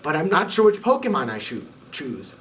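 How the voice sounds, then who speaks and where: A man voicing an excitable young boy trainer in a storybook reading, pitched up high and sounding breathless and pleading. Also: normal speed, a little hiss or hum. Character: eager excitable boy trainer, always rushing. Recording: voice a bit far from the mic.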